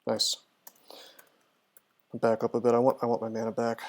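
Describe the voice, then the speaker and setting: A man's voice: mid-range voice, monotone, moderate pace. A man commentating casually on a video game as he streams, chattily thinking aloud through his moves. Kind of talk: casual live gameplay commentary, talking through moves and answering chat.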